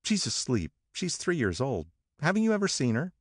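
A man voicing a flighty, charming young society woman in an audiobook, raising his voice high and speaking breathlessly. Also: wildly swooping pitch, normal speed, breathy edge, no echo, studio quality, exaggerated voice. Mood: gushing. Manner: breathless, gushing, high and bright.

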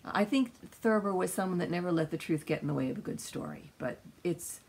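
Older woman, gravelly and animated, swinging pitch, informal storytelling chat in a husky voice. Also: a little fast. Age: older, around 60s. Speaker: co-host, mother discussing books. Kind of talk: casual rambling chat. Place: small book-lined room indoors.

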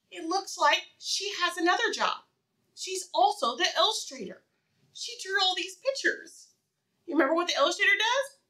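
Animated, high-voiced woman teaching preschoolers. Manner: animated, encouraging, sing-song questioning with pauses for answers. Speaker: preschool teacher reading aloud. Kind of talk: interactive read-aloud lesson for young children.

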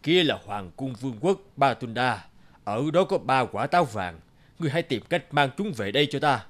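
A man's voice: mid-range voice, lively intonation, normal speed, a bit bright, natural voice. A man voicing a stern, commanding wild forest creature who acts as mentor in a cartoon. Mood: stern, instructing. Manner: commanding, bargaining.

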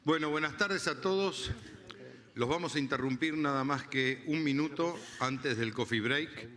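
Older man, bright forceful voice, conference stage, reverberant hall. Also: fairly high pitch, measured pace. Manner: informal, uses filler "este". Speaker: association representative announcing on stage. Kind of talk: public announcement speech. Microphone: handheld microphone. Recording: reverberant hall with faint ambience.

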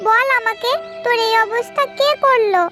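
A woman's voice: very high voice, fairly even intonation, moderate pace, over music. A woman voicing a fierce, devoted mother songbird in a children's cartoon fairy tale. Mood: grieving, vengeful.

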